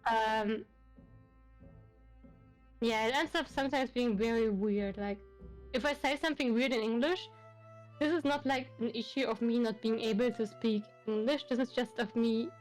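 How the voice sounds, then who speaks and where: A woman's voice: fairly high pitch, normal speed, pauses often, faint music behind. A woman from Belarus with a German accent, Russian her first language, chatting casually as a VTuber guest with lots of fillers and restarts. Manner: rambling, many fillers and restarts. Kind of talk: casual chat on a livestream.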